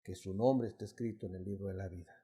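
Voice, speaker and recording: A man's voice: low-pitched, fairly even intonation, quick pace, warm tone, husky. A middle-aged man from Mexico with a Mexican accent, teaching an adult Bible study in an emphatic, preacherly way, constantly addressing the listeners as brothers. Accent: Mexican Spanish.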